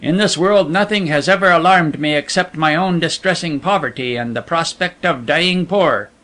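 A man voicing a poor, unscrupulous male adventurer in a storybook reading, speaking calmly and politely with cool assurance. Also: on the high side, normal speed, close to an everyday voice.

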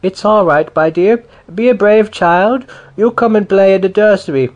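A man's voice: high-pitched, normal speed, highs cut off, voice pushed up, lightly acted. A man voicing a fat, wheezing, motherly older woman who soothes the children. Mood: soothing.

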